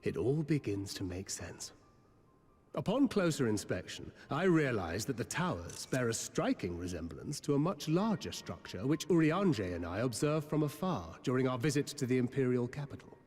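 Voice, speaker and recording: An actor playing an articulate male scholar in a video game, speaking formal, archaic English in grave, measured phrases. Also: mid-range voice, very animated, darkish tone, breathy edge. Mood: grave, analytical. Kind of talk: scripted video game drama. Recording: reverberant hall, music underneath.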